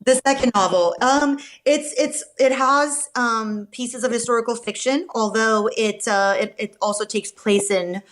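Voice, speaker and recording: A woman from Romania, a novelist guest answering podcast interview questions in a thoughtful, measured way with frequent fillers and restarts. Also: a little high.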